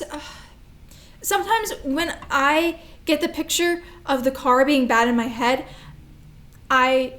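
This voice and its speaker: High-voiced, timid little girl played by an actress. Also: fairly bright tone. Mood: guilty, distressed. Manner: hesitant, halting, childlike. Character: young anxious little girl, timid and confused. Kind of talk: staged reading of a drama. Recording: roomy, echoing stage recording.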